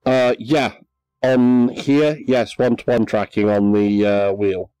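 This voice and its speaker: A man chatting casually on a VR game livestream, relaxed and hesitant, with many ums. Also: medium pitch, takes it slowly, clean tone, no echo, poor audio. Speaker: livestream gamer.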